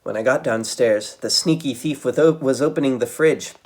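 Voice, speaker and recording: A man reading a children's book aloud as a storytime reader, with dramatic, expressive delivery. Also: medium pitch, moderate pace.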